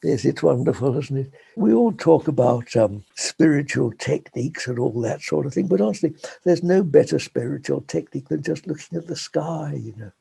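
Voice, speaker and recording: An older man talks slowly and reflectively as an interview guest. His voice is gravelly and husky, mellow and dark in tone, a little higher than most men's. He laughs softly between thoughts. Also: expressive. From England.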